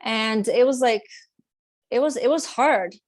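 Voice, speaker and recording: Slow-talking woman guest. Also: fairly high pitch, no room sound, studio quality. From Sweden. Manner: hesitant, many fillers and restarts. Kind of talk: casual personal storytelling interview.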